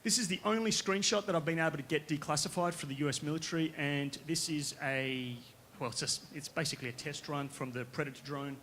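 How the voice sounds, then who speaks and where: A man from Western Australia with an Australian accent, giving a conference pitch as a company founder, casual and conversational, often checking in with the audience. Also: a little fast, a bit bright, a little hiss or hum. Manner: casual, conversational, with frequent tag questions. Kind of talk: conference presentation. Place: conference stage.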